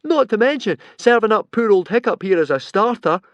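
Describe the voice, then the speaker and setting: A shy, clumsy Viking boy who mumbles his words, voiced by a man. His voice sits high and has a strange timbre, set apart from the narration. The recording is dry and close. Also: animated, fairly quick, dry recording, lo-fi sound. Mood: cold. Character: shy awkward boy with berserk streak.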